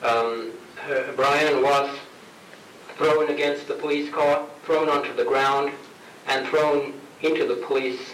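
Man introducing speakers at a rally, kinda far from the mic, echoey, some rumble. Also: steady tone, a bit bright. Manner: halting, with fillers and restarts. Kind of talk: public address at a rally. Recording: echoey, distant, noisy outdoor recording.

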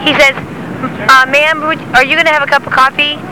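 A woman speaks outdoors on a sidewalk over clear street noise. She rambles emphatically and indignantly, in the casual way of someone stopped for an interview. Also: sits high, little pitch movement, a little fast. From northern California, United States.

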